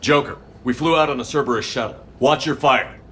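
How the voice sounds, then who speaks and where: A man playing a steady military commander in a game, terse and authoritative. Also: medium pitch, fairly quick, a little hiss or hum, lightly acted. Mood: firm. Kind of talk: cinematic game dialogue.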